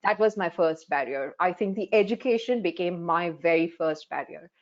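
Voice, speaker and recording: A woman's voice: lowish voice, normal speed. Woman in her 40s, Hindi native speaker from India, earnest life coach on a muffled video call. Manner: earnest, frank storytelling with many fillers. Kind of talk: webinar panel talk.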